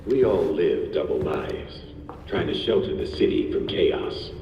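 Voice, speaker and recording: An actor playing a menacing, cultured older leader of a secret society in a game cutscene, measured, condescending and coolly threatening. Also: male voice, very deep voice, expressive, dark tone, highs cut off, faint music behind. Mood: smug, composed. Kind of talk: dramatic video game cutscene acting. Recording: echoey hall with long reverb.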